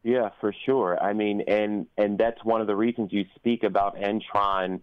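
Male interview guest, American, deep voice, reflective searching delivery, close dry sound with slightly muffled top end. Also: fairly even intonation, normal speed, clear, resonant tone, no room sound. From United States. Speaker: opera singer and interview guest. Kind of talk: conversational radio interview.

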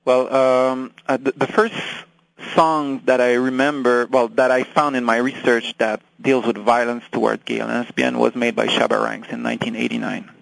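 A man with a French accent, a native French speaker, answering interview questions in halting English and searching for words. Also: mid-range voice, little pitch movement, gravelly, fairly bright tone, dry recording, low-quality recording. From France. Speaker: guest.

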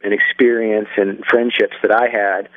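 A man's voice: on the low side, flat delivery, normal speed, dry recording. A young man, a filmmaker, answering interview questions on a phone line, thoughtful and full of restarts. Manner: thoughtful, many restarts and fillers. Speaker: filmmaker guest. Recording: phone-line sound, dry.

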